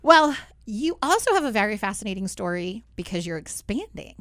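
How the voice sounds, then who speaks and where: A woman hosting a radio talk show in a studio, her voice hoarse and a bit raspy. She chats easily with her guest and keeps checking in with a quick "right?". The sound is dry and close. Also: mid-range voice, sing-song, a bit bright, dry recording. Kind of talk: casual radio talk chat.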